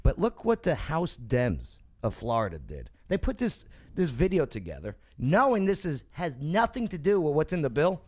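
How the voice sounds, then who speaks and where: Homme animateur au ton emphatique et animé. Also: male voice, on the high side, huge pitch swings, normal speed, hardly any pauses. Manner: emphatic, repeats "okay?" and "right?". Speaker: talk show host. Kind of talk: opinionated panel talk.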